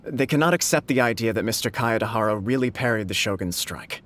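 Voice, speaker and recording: A man playing a formal, deferential official in a video game, explaining things deliberately. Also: on the low side, fairly bright tone, natural voice. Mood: earnest, explanatory. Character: deferential, formal male official making his case.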